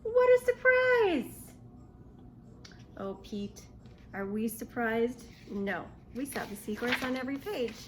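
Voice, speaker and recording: A woman reading a picture book aloud to young children in an expressive, questioning way. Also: a little high, takes it slowly, a little hiss or hum. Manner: expressive, asks the listener questions. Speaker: children's storybook reader.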